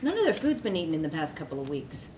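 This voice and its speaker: A woman's voice: deep voice, huge pitch swings, quick pace, mellow. A woman playing a brash, sarcastic jilted fiancée in a sci-fi comedy table read, recorded at a distance with background noise. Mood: matter-of-fact.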